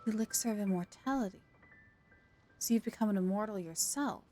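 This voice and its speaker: A woman's voice: medium pitch, brisk, warm tone. A woman streaming a game, giving casual live reactions and commentary. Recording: game music behind voice.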